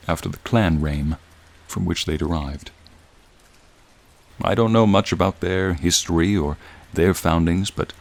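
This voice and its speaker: A man voicing a gentle, lonely, centuries-old dragon in a soothing ASMR roleplay, speaking in a reflective, gently formal way. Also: deep voice. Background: rain and raindrops.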